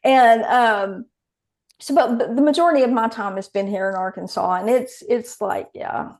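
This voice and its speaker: A woman's voice: fairly high pitch, normal speed, darkish tone. A woman from Texas with a Southern accent, chatting casually on a video call, rambling and friendly. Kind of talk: casual group video chat.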